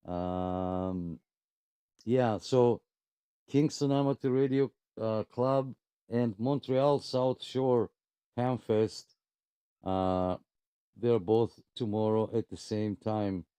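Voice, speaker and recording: Slow, mellow man hosting casually. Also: fairly low pitch, clear, resonant tone, no echo. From abroad, moved to Canada in 2001. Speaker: host of a radio club live net.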